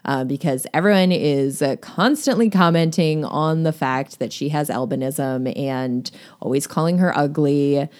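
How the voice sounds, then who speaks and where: A woman's voice: sits low, unhurried, few pauses, a little mellow. A woman discussing a novel in a casual podcast chat, talking with many fillers and easy laughs. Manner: chatty, lots of fillers and laughs.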